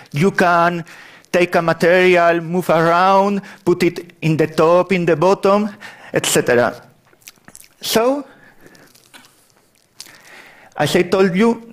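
A man from Spain with a Spanish accent, giving a technical conference talk in a large hall, often restarting his sentences. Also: sits high. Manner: apologetic about his English, frequent restarts. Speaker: conference speaker.

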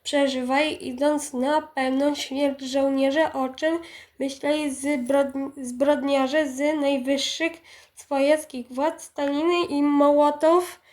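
A young woman recites a commemorative script earnestly. Her voice is high, with little rise and fall, and she takes her time over each phrase. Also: flat delivery, slow pace, darkish tone. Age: young adult. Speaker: reciter in a commemorative radio play.

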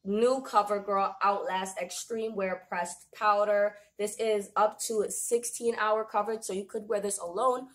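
A young woman speaks in a medium-pitched voice with a slight rasp. She is chatty and informal, like a beauty vlogger walking viewers through products in a small room. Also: steady tone, measured pace, few pauses, fairly bright tone. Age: young adult. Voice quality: slightly raspy. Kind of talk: casual product review to camera. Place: small indoor room, plain backdrop.

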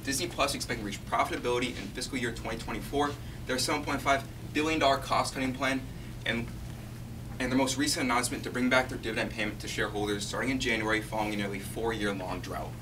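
A man's voice: medium pitch, fairly even intonation, fast talker. A young male student presenter running through a list of points. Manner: list-like delivery. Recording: distant voice with room noise.

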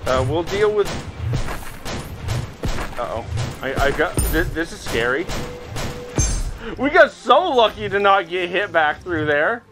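A man's voice: sits high, big pitch swings, a little slow, long pauses. A man hosting a gaming video, chatting casually to viewers as he plays a VR game. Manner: chatty, talking to viewers while playing. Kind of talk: casual VR gameplay commentary. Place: indoors, playing in a VR headset. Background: game music.

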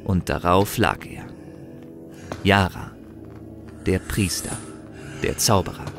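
A man narrating a fantasy audio drama in a grave, measured, scripted storytelling style. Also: low-pitched, creaky, a bit bright. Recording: music behind the voice.

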